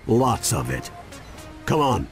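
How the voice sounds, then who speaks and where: A man's voice: on the low side, sing-song, takes it slowly, creaky, faint music behind, exaggerated voice. A man with an American accent playing a firm, caring grandfather adventurer in a cartoon video game. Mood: concerned, urgent. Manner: firm, encouraging, matter-of-fact.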